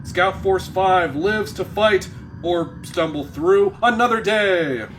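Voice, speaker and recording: A man's voice: sits high, takes it slowly, noisy background. A man giving dramatic, tongue-in-cheek scripted narration for a comedy.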